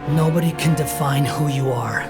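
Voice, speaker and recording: A man's voice: fairly high pitch, moderate pace, lightly acted. A man playing an aloof, brooding young wanderer in a video game, cool, dry and terse. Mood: solemn. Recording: loud music under the voice.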